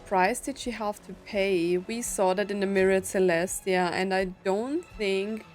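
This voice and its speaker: A woman's voice: mid-range voice, steady tone, unhurried, a little mellow, soft background music. A woman doing casual gameplay commentary, thinking aloud in a hesitant, musing way. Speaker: streamer reacting to a game.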